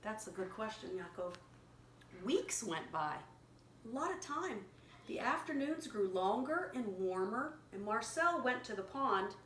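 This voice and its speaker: A woman's voice: on the high side, big pitch swings, a bit bright. Woman reading a children's story, echoey room. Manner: pauses to explain words and prompt repetition. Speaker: children's story reader and teacher. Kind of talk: read-aloud storytelling with simple explanations for a young child. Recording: echoey room with clear background noise.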